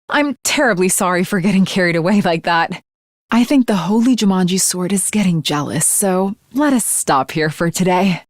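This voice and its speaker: A woman's voice: mid-range voice, a little mellow, no room sound, lightly acted. Grandiose young swordswoman, acted by a woman, dry sound. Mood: earnest, polite. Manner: formal, archaic, grandiose declarations. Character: earnest teenage swordswoman, grandiose and chivalrous, secretly fangirlish. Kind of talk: English anime game voice acting.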